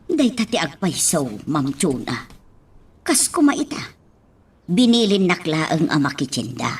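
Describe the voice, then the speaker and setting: Low-voiced Ilocano household nanny. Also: female voice, very animated, unhurried, husky, heavily acted. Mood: uneasy. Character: Ising, concerned loyal household nanny. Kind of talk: Ilocano radio drama acting. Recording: distant mic, faint noise.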